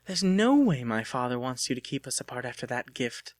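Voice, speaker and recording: A man's voice: medium pitch, sing-song, brisk, no room sound, studio quality, close to an everyday voice. A man voicing an affectionate, bossy young woman with emphatic, emotional delivery in a dramatic audiobook. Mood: emotional, relieved.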